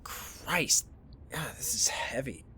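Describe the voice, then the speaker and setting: Awkward, sarcastic teen with animated pitch , acted. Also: slow, slight background noise, exaggerated voice. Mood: sardonic, suspicious. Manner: hesitant, grumbling, sardonic. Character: Wynn, awkward, sarcastic, suspicious teenage best friend. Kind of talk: naturalistic audio drama acting.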